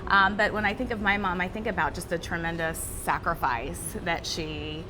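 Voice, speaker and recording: A woman's voice: fairly low pitch, steady tone, normal speed. A woman, a news anchor, talking in a chatty, informal way in a casual conversation. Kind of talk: casual chat. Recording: clear background noise.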